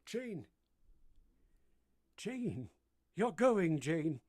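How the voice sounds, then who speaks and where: A man acting a brooding, bitter English gentleman in a period radio drama, impassioned and declamatory, pleading with his beloved. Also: fairly high pitch, sing-song, drawn-out words, exaggerated voice. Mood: anguished pleading.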